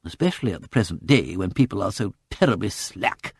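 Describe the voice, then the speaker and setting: A man voicing a prim, sanctimonious lady who talks slowly and moralizes. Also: sits low, expressive, a little mellow, slightly rough, full character voice. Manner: slow, primly moralizing.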